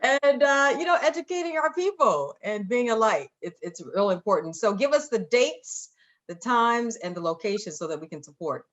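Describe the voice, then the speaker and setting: A woman's voice: fairly high pitch, big pitch swings, normal speed, a bit bright, highs cut off. A woman with an American accent hosting a live show and interviewing guests in a casual way.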